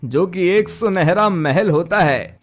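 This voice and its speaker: A man reading scripted narration for an animated moral story as its storyteller. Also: sits high, takes it slowly, faint music behind.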